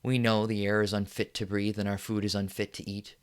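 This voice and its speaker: An actor playing a furious middle-aged TV newsman who delivers an impassioned on-air rant that repeats itself and builds to yelling. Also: lowish voice, level tone, a little fast, smooth, lightly acted. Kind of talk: film monologue.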